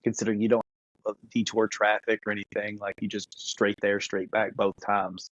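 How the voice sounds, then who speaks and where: A man co-hosting a podcast, chatting casually and thinking out loud in a conversational way. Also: fairly low pitch, flat delivery, moderate pace, no room sound, dull audio. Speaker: podcast co-host. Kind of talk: casual discussion.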